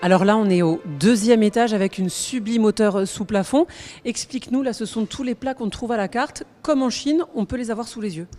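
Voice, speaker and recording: A woman's voice: a little high, fairly quick, dark tone, soft background music. A woman hosting a TV food show, upbeat and full of praise as she presents. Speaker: TV show host.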